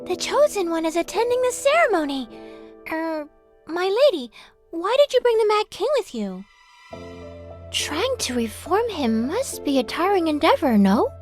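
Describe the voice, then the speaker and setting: A woman's voice: extremely high pitch, very animated, moderate pace, faint music behind, voice pushed up. A woman voicing a naive, devout young female revenant in a fantasy game, earnest, formal and exclamatory. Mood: curious, polite.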